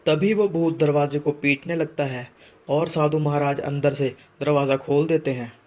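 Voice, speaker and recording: A man's voice: fairly high pitch, steady tone, moderate pace, a little mellow. A man narrating a scripted moral story in a dramatic storytelling style.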